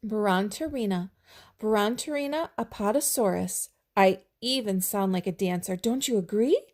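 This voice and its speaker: A woman's voice: medium pitch, measured pace, a little mellow, lightly acted. A sweet, hopeful young dinosaur, voiced by a woman. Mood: earnest.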